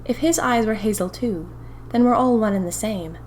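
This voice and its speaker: A woman's voice: mid-range voice, moderate pace, a little mellow, a little hiss or hum, natural voice. A woman playing a young 1920s typist who confides eerie happenings to her diary in a chatty, dramatic, wry way. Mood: musing, curious. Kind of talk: first-person audio fiction diary narration.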